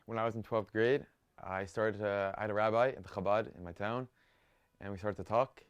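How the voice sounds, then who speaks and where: A young man from the United States tells his story in an interview in a steady, plain way. His voice is very deep and very clear, recorded dry and close. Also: a little fast, no room sound, lo-fi sound. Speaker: student. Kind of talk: interview answers.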